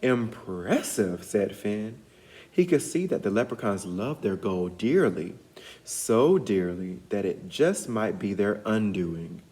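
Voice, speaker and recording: A man reading a storybook aloud as an educator, pausing to put guiding questions to young listeners. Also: fairly low pitch, sing-song, dark tone.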